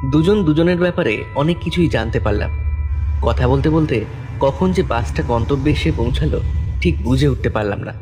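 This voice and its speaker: A man's voice: mid-range voice, animated, normal speed, warm tone, soft background music. A man narrating a scripted horror story in the first person.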